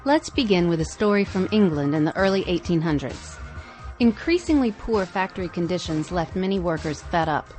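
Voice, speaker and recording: A woman's voice: lowish voice, a little mellow. A woman reading scripted narration as a podcast host.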